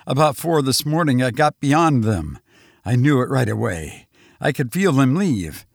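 A man's voice: mid-range voice, big pitch swings, measured pace, vocal fry, dry recording, clean studio sound, heavily acted. A man voicing a frantic, exhausted man who has fled invaders, speaking breathlessly and urgently.

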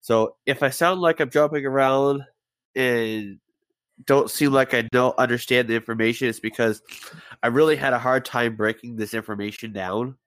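A man's voice: mid-range voice, steady tone, normal speed, fairly bright tone, no room sound. A man with a head cold hosting a casual podcast, rambling through a story and stumbling over names as he swears offhandedly. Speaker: podcast host.

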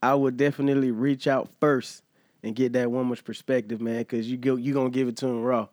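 A man's voice: mid-range voice, level tone, a little fast, darkish tone, no echo, clean studio sound. A man hosting a casual podcast chat and asking questions in informal slang. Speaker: podcast host, interviewer.

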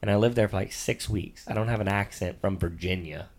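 Very deep-voiced dad, dryly teasing. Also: male voice, fairly quick, darkish tone, no echo. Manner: patient, dryly teasing, matter-of-fact. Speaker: father co-hosting sports picks. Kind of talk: casual chat.

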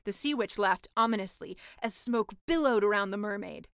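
A woman reading a comic fairy tale as a scripted storybook narrator, expressive and mock-dramatic. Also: mid-range voice, moderate pace, clear, resonant tone, no room sound, studio quality. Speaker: author reading own story.

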